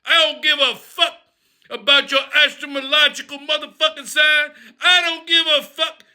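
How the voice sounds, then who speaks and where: A man playing a profane, loudmouthed character who rants and shouts in a comedy bit. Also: very high-pitched, little pitch movement, moderate pace, voice pushed up, heavily acted. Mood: ranting. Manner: profane ranting, shouting, mocking. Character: foul-mouthed loudmouth man named Crazy Rufus.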